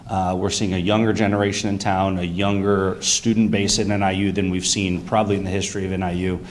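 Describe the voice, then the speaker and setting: A young man with a loud voice pitching his new business to a city council in an eager, detailed way. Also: flat delivery, fast. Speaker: business owner presenting to a council. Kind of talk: public comment at a meeting. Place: council chamber.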